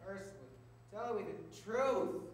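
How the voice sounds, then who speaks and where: A man's voice: high voice, very animated, measured pace. An actor playing a blind, suspicious old grandfather in a stage drama, anxious and pleading. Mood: pleading, worried. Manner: pleading, insistent, swinging from murmurs to anguished cries. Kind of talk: stage-style drama acting. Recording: echoey hall from far off, noisy.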